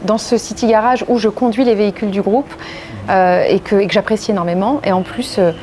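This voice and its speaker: A woman from France giving measured, reflective and earnest interview answers as a legal professional. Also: medium pitch, fairly even intonation, over music. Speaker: interviewee, corporate legal and compliance executive.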